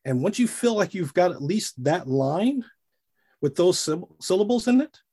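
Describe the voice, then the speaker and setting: A middle-aged man chats about his work as a voice actor. His voice is a little husky and sits a touch high, and his pitch jumps around a lot as he talks with animated energy. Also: normal speed. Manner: animated, demonstrates character voices mid-sentence. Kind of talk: casual interview chat.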